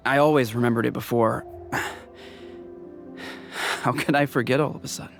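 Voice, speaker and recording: A man's voice: mid-range voice, steady tone, moderate pace, gravelly, soft background music, close to an everyday voice. A man playing a flustered, worried villager in a video game, with a fretful, hesitant delivery. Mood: confused.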